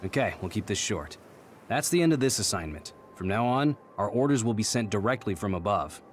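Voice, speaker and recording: A man's voice: fairly low pitch, very animated, fairly quick, a little hiss or hum. A man playing a no-nonsense squad captain in an anime game, curt, authoritative and dryly weary. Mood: businesslike. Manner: curt, authoritative, matter-of-fact.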